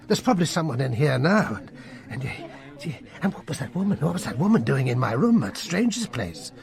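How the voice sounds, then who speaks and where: A man's voice: a little high, sing-song, fairly quick, vocal fry, a little mellow. An actor playing a jittery, hard-drinking upper-class English military man in a radio drama, with a blustering, stammering manner. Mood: paranoid, rambling. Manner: blustering, stammering, slurred old-fashioned slang.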